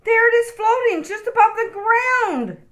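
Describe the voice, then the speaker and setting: A scared young storybook bunny boy played by a woman, pitched very high. He whines and pleads in a bright, wailing little voice. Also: lively intonation, measured pace, heavily acted. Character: small frightened boy bunny stalling at bedtime.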